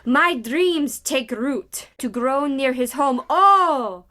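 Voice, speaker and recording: A woman's voice: high-pitched, expressive, bright, forceful tone, exaggerated voice. A woman playing a temperamental stage actress rehearsing a grieving goddess, with theatrical delivery, in a video game. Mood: solemn, grieving. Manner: theatrical, slow recitation broken by argumentative asides. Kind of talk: dramatic game voice acting.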